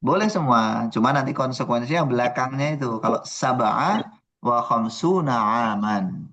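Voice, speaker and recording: A man's voice: mid-range voice, lively intonation, moderate pace, a bit bright. A male teacher running an online class, patiently explaining number words and drilling his students. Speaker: Arabic teacher.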